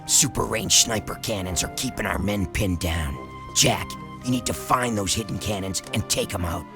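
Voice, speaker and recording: A low-pitched, gruff male commander briefs his fighters as a man acts him out in a video game cutscene. He is terse and wry, with music clearly playing behind him. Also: normal speed, close to an everyday voice. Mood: businesslike. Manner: terse military briefing delivery, dry humor.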